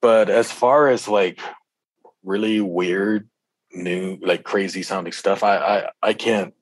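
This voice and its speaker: A middle-aged man in casual interview conversation, speaking as a guest with halting, laid-back, slangy phrasing. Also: deep voice, steady tone, no room sound. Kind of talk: casual chat.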